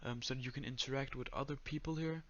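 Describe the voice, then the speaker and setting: A young man with a Danish accent giving a step-by-step screen-recorded tutorial in a flat, monotone voice full of "uh"s and "um"s. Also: medium pitch, quick pace, dull audio. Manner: monotone, many fillers. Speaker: tutorial creator.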